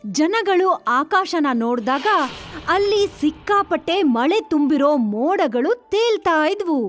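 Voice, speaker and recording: A woman's voice: very high voice, lively intonation, a little slow, faint music behind. A woman narrating a scripted children's story.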